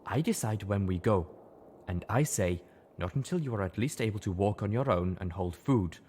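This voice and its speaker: A man voicing a calm, grave female zebra healer who speaks quietly, flatly and firmly in a story reading. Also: fairly low pitch, slight background noise, lightly acted. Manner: quiet, solemn, flat and deliberate.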